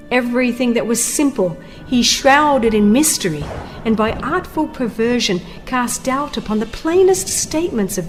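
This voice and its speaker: A woman narrating a religious audiobook in a solemn, formal, dramatic scripted delivery. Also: high voice. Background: music under the voice.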